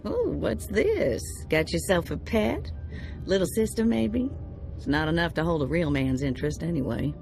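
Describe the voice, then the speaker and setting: A woman's voice: lowish voice, sing-song, moderate pace, creaky, a little mellow. An actress playing a brash, flirtatious woman who greets bounty hunters, teasing and sly, over game music. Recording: music behind.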